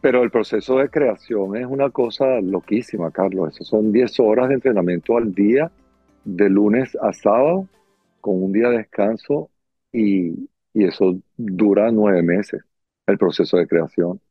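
A middle-aged Venezuelan man recounts his experiences conversationally, slipping into little acted dialogues. The sound is dry and somewhat dull, with clear music playing behind him. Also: on the low side, a little slow, no room sound. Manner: animated, acting out little dialogues. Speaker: guest, circus performer and dancer. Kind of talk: conversational storytelling in an interview.

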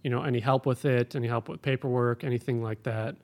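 A man's voice: medium pitch, fairly even intonation, quick pace, darkish tone. A man who works as an air traffic controller, answering interview questions in a plain, practical, explanatory way.

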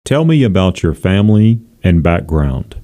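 A man's voice: low voice, big pitch swings, normal speed, mellow. A man, a school principal, reading a formal scripted introduction to an interview podcast.

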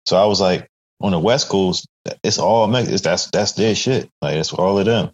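Man, very deep voice, casual chat, animated storyteller, slightly muffled lo-fi recording. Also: normal speed. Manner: animated storytelling with acted-out quotes. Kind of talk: casual podcast conversation.

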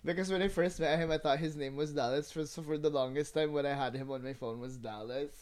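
A man's voice: a little high, fairly even intonation, fast, darkish tone. A young man co-hosting a podcast, chatting casually with friends in a candid, slangy, campy way. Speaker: podcast co-host. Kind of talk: casual chat among friends.

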